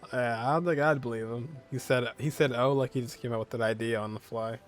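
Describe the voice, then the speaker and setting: Male gamer, average pitch, casual gameplay reactions, faint music behind. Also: steady tone, fast. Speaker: gamer, streamer.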